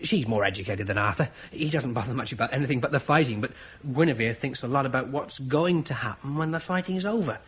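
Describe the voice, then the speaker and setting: A man's voice: mid-range voice, quick pace, hardly any pauses, slightly rough, lightly acted. An actor playing a cheerful, brash young soldier in a classic radio drama, laughing as he tells of a fight. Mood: eager. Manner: boisterous, laughing storyteller. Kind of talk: 1950s radio drama acting.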